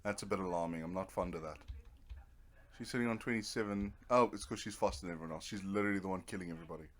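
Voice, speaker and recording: Low-voiced man casually commentating a game. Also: very fast, fairly bright tone. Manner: rambling, half talking to himself, with playful sound words. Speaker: streamer playing a video game. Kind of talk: casual let's play commentary. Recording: clear background noise from nearby TV. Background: Netflix playing in the background.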